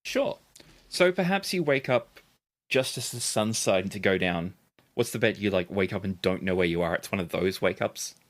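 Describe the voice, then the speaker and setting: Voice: sits low, lively intonation, moderate pace. A game master chatting casually and narrating a tabletop game.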